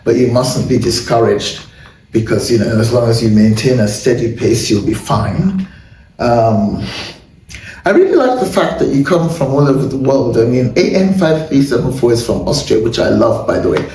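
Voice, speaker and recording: A man hosting a casual wellness chat, candid and self-deprecating, with a hoarse voice and frequent throat clearing. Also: mid-range voice, expressive, moderate pace, warm tone. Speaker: host. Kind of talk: casual chat and viewer shout-outs. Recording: roomy echo, far from mic, faint noise.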